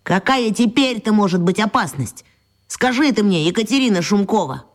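A woman's voice: mid-range voice, animated, moderate pace, close to an everyday voice. Woman playing a brooding, bossy schoolboy. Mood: worried, arguing. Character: schoolboy Pavel, mild young hooligan, bossy and brooding. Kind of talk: radio play acting.

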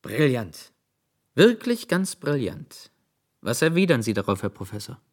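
An actor playing an impressionable young pupil, musing in a breathy half-whisper in a radio drama. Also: male voice, mid-range voice, big pitch swings, unhurried, clean studio sound. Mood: admiring, amused. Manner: musing, echoing others' words. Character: impressionable young male pupil, eager bemused listener. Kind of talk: German radio drama acting.

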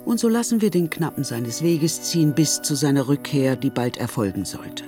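A woman's voice: deep voice, expressive, normal speed, over music. A woman narrating a comic knightly tale as the storyteller of a radio play. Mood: wry storytelling.

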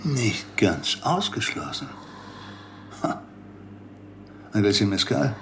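A man's voice: on the low side, big pitch swings, slow pace, vocal fry, a bit bright, a little hiss or hum, exaggerated voice. A man playing a rambling, conspiracy-minded doctor in a radio play, lecturing with theatrical emphasis. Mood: grave, deliberate.

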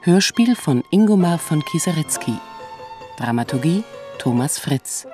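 A woman's voice: low voice, expressive, measured pace, warm tone. A woman announcer formally reading radio drama credits, with music behind her.

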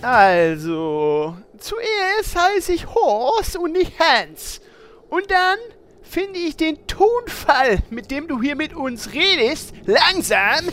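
A woman's voice: sits high, very animated, very slow, fairly bright tone, soft background music, full character voice. A woman voicing a dim-witted, petulant male warrior in a fantasy parody audio drama, plodding through the lines. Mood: miffed. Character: dim-witted, easily offended male warrior tank named Horst.

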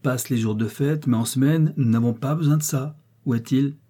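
A man's voice: mid-range voice, normal speed, mellow, husky, voice pushed up, natural voice. A man voicing a stern, irritable miller in a storybook reading, curt, scolding and commanding. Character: stern, irritable male miller, the master of the mill.

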